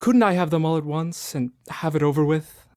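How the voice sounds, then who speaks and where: A man's voice: on the high side, a little fast, dark tone, lightly acted. A cranky old miser in a radio play, voiced by an actor. He scoffs and doubts in a querulous tone, and turns wheedling and pleading when he gets frightened. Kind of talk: radio drama acting.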